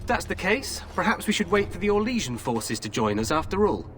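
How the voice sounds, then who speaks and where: A man's voice: high-pitched, very animated, fairly quick, a bit bright, slight background noise. An actor playing a proud, stubborn young king in a fantasy video game, speaking in a regal, assertive, formal way. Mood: defiant.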